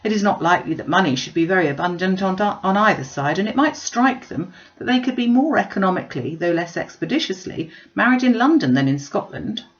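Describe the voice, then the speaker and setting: A woman reading the part of a hopeful, reasonable middle-aged gentleman uncle in a classic novel, with formal phrasing. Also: mid-range voice, expressive, a little fast, few pauses, highs cut off, close to an everyday voice. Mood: reasoning.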